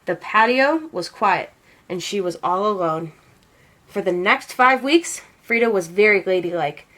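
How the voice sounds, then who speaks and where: A woman narrating a storybook in an expressive read-aloud style. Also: medium pitch, measured pace, rough recording.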